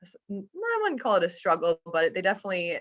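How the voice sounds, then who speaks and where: A woman's voice: lowish voice, huge pitch swings, quick pace, a bit bright. A young woman from the Chicago suburbs, a veterinary student, chatting casually and upbeat with lots of fillers on a video call. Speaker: fourth-year veterinary student. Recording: muffled video-call audio.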